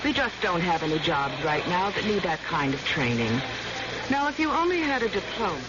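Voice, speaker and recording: Apologetic female interviewer, lively intonation, radio spot drama, heavy broadcast noise. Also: on the low side, normal speed. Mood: politely dismissive. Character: apologetic female job interviewer turning down a veteran. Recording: muffled noisy old radio broadcast.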